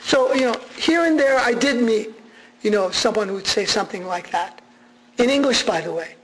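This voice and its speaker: A man's voice: sits high, animated, normal speed, fairly bright tone, poor audio. A man whose first language is Armenian, giving a conversational public lecture with frequent restarts and fillers.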